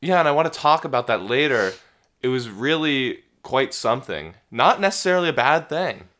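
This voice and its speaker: A man co-hosting a comedic health podcast, stuffy from a cold, making enthusiastic deadpan claims. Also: mid-range voice, moderate pace. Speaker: podcast co-host.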